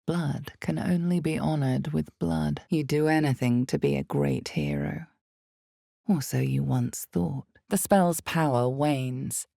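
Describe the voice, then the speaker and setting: A woman playing the ominous, knowing narrator of a dark fantasy game, telling the story in a deliberate, theatrical and intimate way. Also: low-pitched, vocal fry, no room sound, studio quality, exaggerated voice. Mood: measured. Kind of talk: dramatic scripted fantasy narration.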